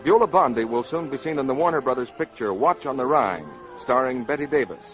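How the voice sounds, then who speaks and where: A man's voice: mid-range voice, expressive, fairly quick, over music. A man announcing on 1940s radio in a polished, formal manner. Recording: muffled 1940s radio, audience noise.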